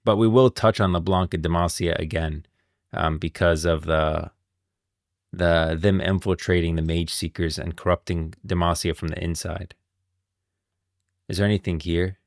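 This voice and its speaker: Deep-voiced man streaming commentary. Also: fairly even intonation, normal speed, vocal fry, no room sound, studio quality. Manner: opinionated, thinking aloud with emphasis. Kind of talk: casual live-stream commentary.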